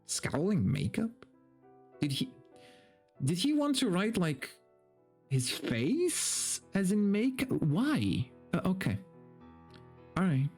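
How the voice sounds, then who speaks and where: A man's voice: high voice, huge pitch swings, takes it slowly, long pauses, darkish tone, slightly rough, soft background music. A man doing a dramatic story reading for a stream, mock-serious and breaking into incredulous, sweary commentary.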